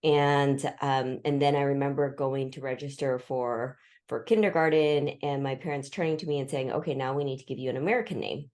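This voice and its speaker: A woman's voice: a bass voice, brisk, hardly any pauses, a little mellow. A woman in her forties, raised in the US, telling her life story as an interview guest with thoughtful restarts and fillers. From Vietnam, raised in the US. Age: 40s.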